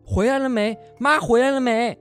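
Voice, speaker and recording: A man's voice: very high voice, steady tone, normal speed, a bit bright, faint music behind. A man acting a worried, exasperated middle-aged father in a radio drama, with a Taiwanese accent and a fretful, scolding manner.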